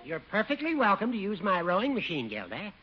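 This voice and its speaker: An actor playing a fussy, teasing older judge in a 1950s radio comedy, with a finicky, needling manner. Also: male voice, high-pitched, huge pitch swings, brisk, over music. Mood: smug. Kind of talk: 1950s radio sitcom acting. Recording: dull, noisy old radio recording.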